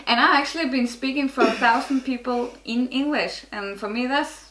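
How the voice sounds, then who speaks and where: A woman's voice: sits high, moderate pace, reverberant, noisy background. A middle-aged woman from Norway with a Norwegian accent, speaking English as a guest in a casual interview, frank and often restarting her sentences. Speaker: guest, network marketer.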